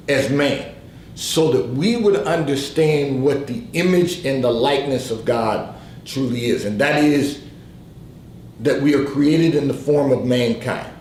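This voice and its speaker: A man hosting a faith advice livestream, giving spoken devotional guidance in a slow, repetitive preaching cadence, hoarse from allergies and clearing his throat. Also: mid-range voice, a little mellow, echoey room, a little hiss or hum, poor audio.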